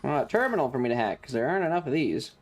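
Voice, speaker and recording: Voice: medium pitch, sing-song, racing. A streamer giving casual, sarcastic game commentary to chat, playfully crude and full of swearing. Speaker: streamer, host. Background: faint game music.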